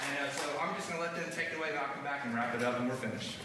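A man's voice: medium pitch, very fast, recorded from a distance, over loud music. A man hosting a conference session, casual and folksy, with many fillers. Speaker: conference host. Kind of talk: event introduction. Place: large conference hall. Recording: echoey hall from afar, room noise.

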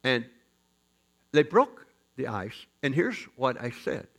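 Male pastor, gravelly fry, mid-pitch, folksy joking sermon with loud bursts. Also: takes it slowly. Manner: folksy, joking, animated preaching with sudden loud rises. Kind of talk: storytelling sermon.

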